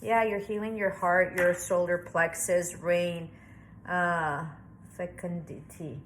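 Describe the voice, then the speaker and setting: A woman's voice: lowish voice, steady tone, slow pace, a little hiss or hum. Chatty, reassuring woman reading tarot. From Southwestern United States. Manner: chatty, reassuring, thinks aloud with many fillers. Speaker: tarot reader. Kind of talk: casual tarot reading to camera.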